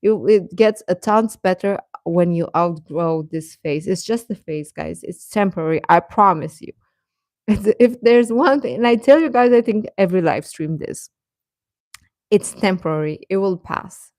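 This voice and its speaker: A woman's voice: medium pitch, a little slow, a little mellow, no echo, studio quality. A woman chatting casually on a livestream, giving earnest, encouraging advice and repeating phrases for emphasis in a reassuring way. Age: adult. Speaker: live streamer giving advice to teenage viewers.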